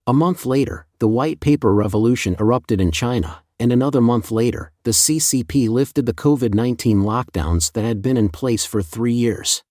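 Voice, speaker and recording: A man narrating scripted news in a formal, measured, read-aloud manner. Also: medium pitch, darkish tone, no room sound, clean studio sound.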